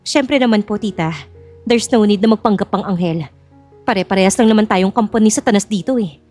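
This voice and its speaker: Babaeng gumaganap na magalang, mautak na dalaga. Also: female voice, mid-range voice, brisk, darkish tone, faint music behind, close to an everyday voice. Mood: polite, sly. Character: young bride-to-be, polite, quietly cunning.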